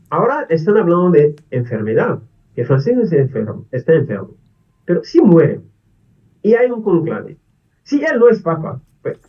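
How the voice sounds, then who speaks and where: Non-native priest, earnest and pleading, high lively voice, distant muffled recording. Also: male voice. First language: not Spanish. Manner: earnest pleading, repeated questions. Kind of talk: video appeal to the faithful. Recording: distant dull lo-fi video audio.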